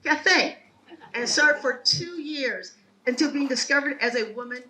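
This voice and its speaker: A woman giving a prepared speech at a ceremony, telling her family's history in a measured, earnest way. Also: high voice, bright, forceful tone, recorded from a distance, slight background noise, rough recording. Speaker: ceremony speaker. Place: outdoor ceremony.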